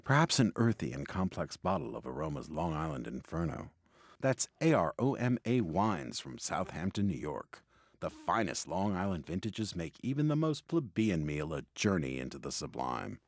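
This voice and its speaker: A man narrating and hosting an old-style radio drama, dropping into dramatic hushes. His voice is deep and husky yet lively in its rises and falls. The sound is dry and close. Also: normal speed, no echo. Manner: dramatic, hushed storyteller delivery. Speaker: narrator and host.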